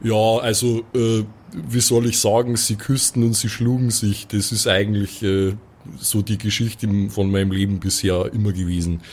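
A man voicing a gruff, folksy strongman with a Bavarian accent in an improvised tabletop audio play. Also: on the low side, level tone, a little mellow. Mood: folksy, chatty. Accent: southern German-Austrian Bavarian. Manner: folksy, unhurried, hesitant.

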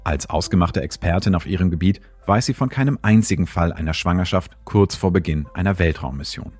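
A man's voice: low-pitched, brisk, darkish tone, slightly rough, soft background music. A man reading scripted documentary narration as a narrator.

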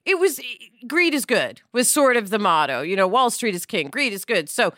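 A middle-aged woman co-hosting a podcast, chatting in a lively way with lots of asides. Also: medium pitch, normal speed, no echo, clean studio sound. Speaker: podcast co-host. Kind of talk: casual podcast discussion.

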